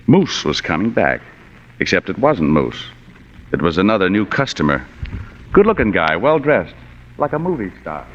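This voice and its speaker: An actor playing a wisecracking, hard-boiled private detective in a 1940s radio noir, with a dry, sardonic delivery. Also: male voice, low-pitched, big pitch swings, moderate pace, a bit bright, slight background noise. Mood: brooding, wry. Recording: dull vintage radio broadcast recording.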